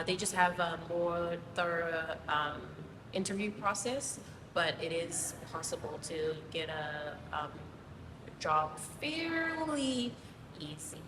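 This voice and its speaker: A woman from Zimbabwe, a guest teacher, explaining things casually with many pauses and ums. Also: fairly low pitch. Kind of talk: casual interview answers. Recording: distant mic, roomy hall, noisy.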